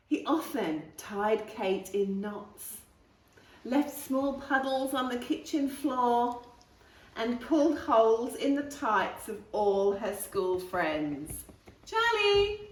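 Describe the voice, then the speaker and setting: Animated woman reading a children's story, roomy sound. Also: fairly high pitch. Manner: expressive, slow, stretching key words. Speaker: storytime host reading a picture book to viewers and her dog. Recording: roomy echo, distant mic.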